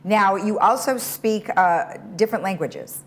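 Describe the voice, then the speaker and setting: A woman's voice: medium pitch, animated, measured pace. A woman hosting a community TV interview, asking questions in an upbeat, conversational way. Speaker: host. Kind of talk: casual interview talk.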